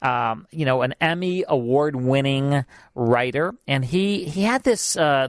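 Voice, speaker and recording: A man's voice: fairly high pitch, no echo. A man hosting a radio show, chatting casually with his co-host and reading promos, with plenty of fillers, in a studio. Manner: chatty, repeats himself, fillers. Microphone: studio mic.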